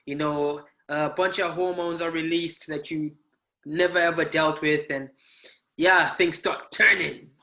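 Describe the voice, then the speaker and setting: A man hosting a relaxed podcast, speaking in a fairly high, bright voice. He keeps things upbeat and easygoing, steering the chat with simple questions. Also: unhurried. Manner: upbeat and relaxed, leads the conversation with easy questions. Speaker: podcast host.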